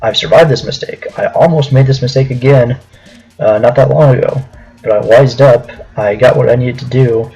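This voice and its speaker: A man's voice: medium pitch, moderate pace, creaky, warm tone, slightly rough, muffled recording, soft background music. A man telling a personal story to viewers in a casual, hesitant, conversational way, as a video storyteller.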